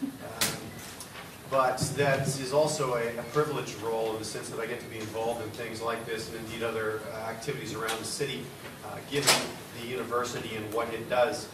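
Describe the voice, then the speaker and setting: A man giving a conversational symposium presentation in a loud voice, with frequent fillers. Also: mid-range voice, flat delivery, fairly quick. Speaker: university executive speaker. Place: lecture room or studio space. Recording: roomy hall from far off, noisy.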